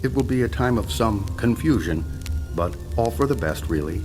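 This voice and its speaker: Male actor as alien chief: deep, measured, condescending menace, radio drama with prominent music bed. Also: animated, close to an everyday voice. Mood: calm menace. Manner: measured, falsely reassuring, condescending. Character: calm, sinister alien leader posing as UFO researcher. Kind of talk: audio drama acting. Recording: loud music under the voice.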